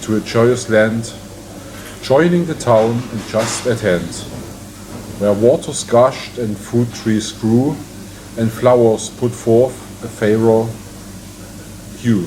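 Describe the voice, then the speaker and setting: A man's voice: medium pitch, takes it slowly, pauses often, a little mellow, low-quality recording. A man reading verse aloud as an amateur. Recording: clear background hum and ambient noise.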